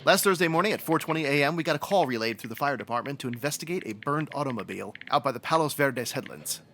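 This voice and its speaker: An actor playing a matter-of-fact police sergeant in an old-time radio detective drama, with a dry, procedural, folksy way of talking. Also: male voice, mid-range voice, expressive, fast talker, fairly bright tone, slight background noise.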